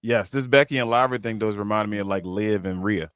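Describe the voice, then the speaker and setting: Man co-host with a very deep, dry, close voice and a relaxed, meandering delivery. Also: a little fast, smooth, no echo. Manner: laid-back, rambling, many fillers and restarts. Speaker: podcast co-host. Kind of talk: casual panel chat.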